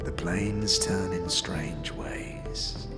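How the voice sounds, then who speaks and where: An actor playing a sly, withered old scavenger boss in a fantasy audio drama, wheedling and cagey, with archaic, inverted phrasing. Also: male voice, deep voice, steady tone, extremely slow, over loud music. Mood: sly, guarded. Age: older. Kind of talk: audio drama character acting. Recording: long cavernous reverb.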